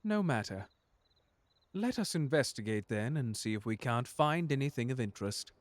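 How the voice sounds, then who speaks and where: A man's voice: medium pitch, expressive, moderate pace, no room sound, natural voice. A man playing a suave, unflappable butler in an ASMR audio drama, speaking formally with dry courtesy and a protective streak. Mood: curious, watchful. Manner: formal, polite, dryly sardonic. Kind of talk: ASMR audio drama roleplay addressed to the listener.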